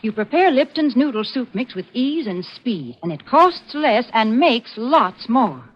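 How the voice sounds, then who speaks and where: A woman announcer delivering a scripted 1940s radio advertising pitch. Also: very animated, a little slow. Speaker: commercial announcer. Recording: dull vintage 1940s radio recording.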